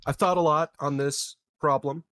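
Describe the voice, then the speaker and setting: A man role-playing casually as a player in a tabletop game, speaking in a hesitant, deliberate way. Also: on the high side, steady tone, a bit bright, dry recording, studio quality.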